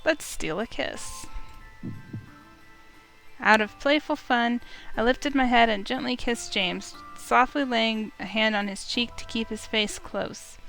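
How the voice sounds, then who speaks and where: A woman reading a visual novel aloud as an expressive first-person story, with music underneath. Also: a little high, gravelly, rough recording.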